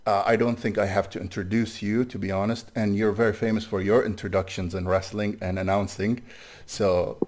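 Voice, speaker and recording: A male podcast host with a bassy voice leads a casual interview. His questions are friendly and loose. He speaks in a lowish register. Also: little pitch movement, a little fast, darkish tone.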